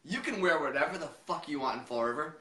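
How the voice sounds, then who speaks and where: A man's voice: fairly high pitch, fast talker. A man in his thirties chatting casually and profanely as a podcast co-host, acting out dialogue as he tells stories. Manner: animated, acts out quoted dialogue. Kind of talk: casual profane storytelling chat. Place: small living room, on a couch. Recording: echoey living room.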